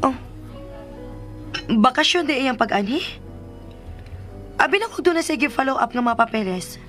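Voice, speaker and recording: A jealous young woman in a radio soap, highish voice, hurt but holding back, music under her. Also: animated, moderate pace, fairly bright tone. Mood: subdued. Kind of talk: radio drama acting.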